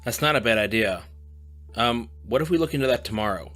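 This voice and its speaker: A man's voice: medium pitch, animated, a little fast, fairly bright tone, faint music behind, natural voice. An actor playing a weary young male student with magic powers who speaks bluntly and swears when tired.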